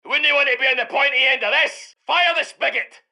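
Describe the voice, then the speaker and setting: An actor playing a gruff British tank commander in a war video game, barking short military orders in a forceful, gravelly voice. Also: male voice, very high-pitched, fairly quick, full character voice. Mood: commanding. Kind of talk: shouted military game barks.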